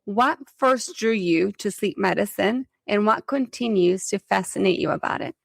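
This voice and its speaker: A woman who hosts a health podcast, her voice carrying a gravelly fry. She puts her questions to the guest in a measured way. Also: medium pitch, expressive. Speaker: podcast host. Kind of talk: interview questions and comments.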